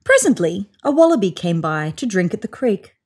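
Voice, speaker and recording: A woman reads a picture book aloud as narrator. Her voice is mid-pitched and animated, moving expressively through each sentence in a storytelling style. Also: moderate pace, husky. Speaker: storybook reader. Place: indoors, on a sofa in a room.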